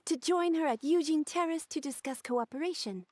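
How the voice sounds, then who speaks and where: Woman as high-voiced, poised formal secretary. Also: a little mellow, no room sound, natural voice. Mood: polite, composed. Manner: formal, measured, polite. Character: poised, courteous young female secretary to a noblewoman. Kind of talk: video game cutscene voice acting.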